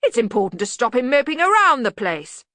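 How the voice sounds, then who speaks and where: A woman performs a bluff older male wizard in charge, from a comic fantasy audiobook. He blusters loudly and bluntly, missing the point. The delivery is forceful and swoops widely, in a dry recording. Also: a little high, very animated, fairly quick, dry recording, pitched above the performer's own voice. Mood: blustering, hearty. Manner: blunt, bellowing, obtuse. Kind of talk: acted audiobook dialogue.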